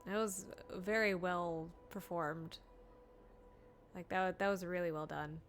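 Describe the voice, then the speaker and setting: A woman's voice: on the low side, steady tone, takes it slowly, soft background music. A woman streaming a video game, giving casual live reactions and commentary.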